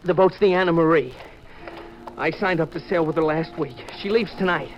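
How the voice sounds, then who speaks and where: An actor playing a desperate, jumpy nineteen-year-old sailor in a 1950s radio drama. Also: male voice, on the high side, normal speed, a little hiss or hum, natural voice. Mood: urgent. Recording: muffled old radio recording, faint music.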